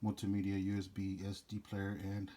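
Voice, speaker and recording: Low-voiced man casually presenting an unboxing. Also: completely flat delivery, normal speed, dark tone. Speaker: DJ rental business presenter.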